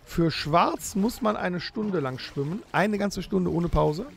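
A man's voice: sits high, lively intonation, takes it slowly, vocal fry. A man co-hosting a comedic improvised audio play, reading out rules with eager, insistent emphasis. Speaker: podcast co-host.

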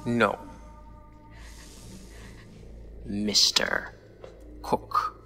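An actor playing a cryptic, solemn man, terse and hushed, quietly menacing, in an audio drama. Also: fairly low pitch, level tone, drawn-out words, faint music behind, exaggerated voice. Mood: cold, cryptic.

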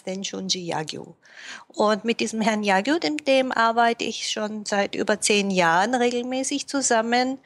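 A woman speaking as a guest in a podcast interview, speaking thoughtfully with frequent pauses and fillers. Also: mid-range voice. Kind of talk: casual interview talk.

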